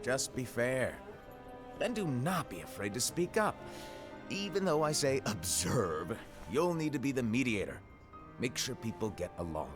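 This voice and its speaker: A man's voice: medium pitch, very animated, measured pace. An actor playing a playful male mentor in a video game cutscene, breezy and teasing as he gives orders. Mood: coaxing. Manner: breezy, teasing, then coaxing. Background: game score music.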